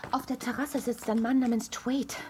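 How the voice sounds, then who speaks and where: An actress playing a well-born young newlywed wife in a German radio drama, questioning, firm and reproachful. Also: female voice, a little high, fairly even intonation, moderate pace, natural voice. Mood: politely reserved. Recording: echoey room with clear background noise.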